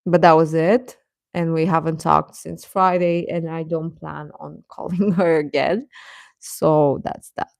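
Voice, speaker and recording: Low-voiced woman, slow casual streamer. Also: steady tone, creaky, a little mellow, dry recording, clean studio sound. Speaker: live stream host. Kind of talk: casual live stream chat with viewers.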